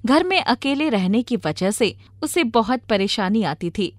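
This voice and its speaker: A woman's voice: a little high, big pitch swings, measured pace, no room sound, clean studio sound. A woman reading a scripted storybook narration as the storyteller. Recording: music under the voice. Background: background music.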